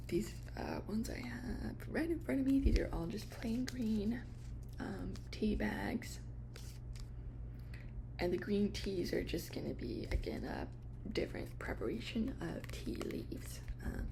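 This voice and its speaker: A woman speaking in a hushed, hesitant, unscripted way as an ASMR host playing a shop clerk helping a customer. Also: a little high, creaky. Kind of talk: ASMR shop roleplay, soft-spoken and whispered.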